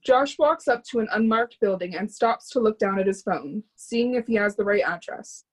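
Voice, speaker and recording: A woman's voice: mid-range voice, normal speed, poor audio. A woman reading stage directions as scripted narration for a readers theatre drama.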